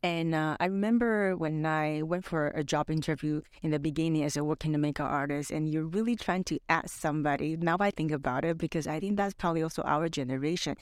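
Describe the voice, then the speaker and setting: A woman's voice: low voice, brisk, hardly any pauses, no room sound, studio quality. A middle-aged woman who grew up in Canada, Mandarin her first language, telling stories as a podcast co-host, rambling with many fillers. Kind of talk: casual podcast chat.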